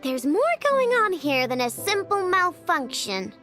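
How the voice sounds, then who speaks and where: Very high-voiced young girl inventor. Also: sing-song, unhurried, exaggerated voice. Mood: curious, thoughtful. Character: young girl inventor, confident, curious, tinkering. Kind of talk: video game voice acting. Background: game music.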